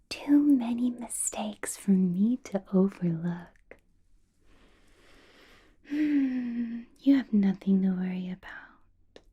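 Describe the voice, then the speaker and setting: Woman performing a vampire crime boss, ASMR roleplay, heavily breathy whisper, soft mellow tone, doting, quietly menacing. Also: medium pitch, heavily acted. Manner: slow, breathy whisper with silky, drawn-out phrasing.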